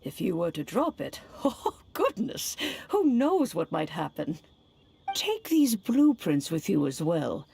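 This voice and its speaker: An actress playing a gentle elderly immortal granny in a video game, speaking in old-fashioned, unhurried phrases with fond chiding. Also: female voice, fairly low pitch, sing-song, vocal fry, heavily acted. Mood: gentle, helpful.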